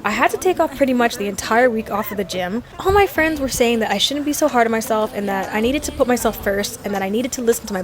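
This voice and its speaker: Woman, twenties, fitness vlogger voice-over, bright-ish voice, casual storytelling. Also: on the high side. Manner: chatty storytelling, self-deprecating laughs. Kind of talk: voice-over narration.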